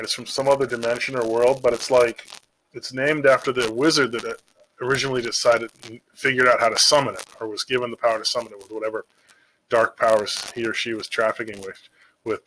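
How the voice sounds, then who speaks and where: A man's voice: mid-range voice, sharp tone, rough recording. A man talking off the cuff in a casual, slangy, digressive monologue, like a video creator chatting about games. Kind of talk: casual rambling monologue about game monsters. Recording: noticeable background noise with clicks.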